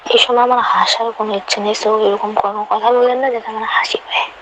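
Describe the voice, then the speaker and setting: A woman's voice: fairly high pitch, fairly even intonation, brisk, fairly bright tone. A young woman arguing casually, answering in a curt, stubborn and dismissive way. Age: young adult. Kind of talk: casual phone argument. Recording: dull lo-fi line with faint noise.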